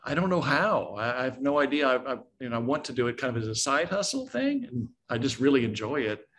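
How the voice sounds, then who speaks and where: A man's voice: mid-range voice, big pitch swings, fast talker, a bit bright. A man from Texas chatting casually as a workshop attendee. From Texas, United States. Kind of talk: casual chat.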